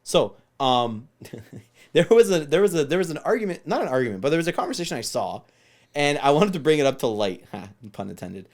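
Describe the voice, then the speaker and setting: A man co-hosting a podcast, expressive and quick to exclaim in casual banter. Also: on the high side. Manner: expressive, laughs and exclaims a lot. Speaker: podcast co-host and musician.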